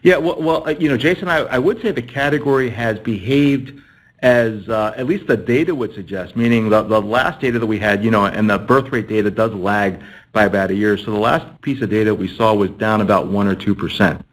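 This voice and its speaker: A man's voice: on the low side, brisk, rough recording. A man, a company executive, answering analyst questions on an earnings call and often repeating words as he thinks.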